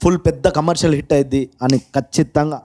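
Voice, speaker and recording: Fairly high-voiced man in casual interview. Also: fairly even intonation, quick pace, mellow. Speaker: film team member in a promotional interview. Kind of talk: casual, halting interview remarks.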